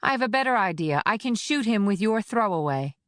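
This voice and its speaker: A man's voice: high-pitched, expressive, a little fast, fairly bright tone, clear, resonant tone, no echo, studio quality, voice pushed up. A man voicing a menacing, taunting female agent in an audiobook thriller. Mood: threatening. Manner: taunting, threatening. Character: cold menacing woman agent with gun. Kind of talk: audiobook narration.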